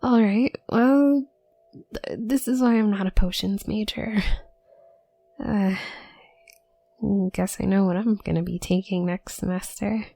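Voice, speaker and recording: A woman playing a shy, awkward young witch student in an audio roleplay, speaking softly and breathily with a nervous, halting stammer. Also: medium pitch, vocal fry, no echo. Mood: mock indignant, sheepish. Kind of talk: roleplay audio drama addressed to the listener.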